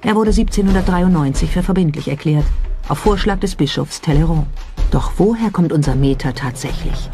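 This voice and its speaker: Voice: medium pitch, warm tone. Documentary narrator over background music.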